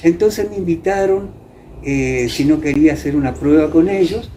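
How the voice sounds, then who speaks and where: A man's voice: on the high side, normal speed, warm tone, slight background noise. An Argentine man, middle-aged or older, explaining and reminiscing in an interview with hesitant phrasing and frequent tag questions. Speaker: interviewee, former radio actor.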